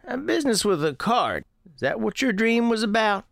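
A man performs as an old American country storekeeper in a radio drama. He tells his tale in a folksy way, watchful and gentle, with a lively range of pitch and a close, dry sound. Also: creaky, dry recording, heavily acted. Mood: calm, observant. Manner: folksy, unhurried storytelling. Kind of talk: first-person scripted storytelling in radio drama.

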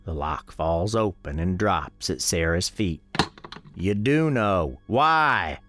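A man playing a grave, folksy storekeeper, narrating an eerie radio drama in a measured, ominous way. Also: deep voice, lively intonation, a bit bright, soft background music, exaggerated voice. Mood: tense, grave, then pressing.

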